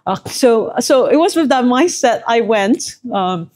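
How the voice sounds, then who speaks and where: A woman's voice: fairly high pitch, animated, measured pace, darkish tone. A middle-aged woman from Southeast Asia, a former executive, answering interview questions in a reflective, conversational way with frequent fillers.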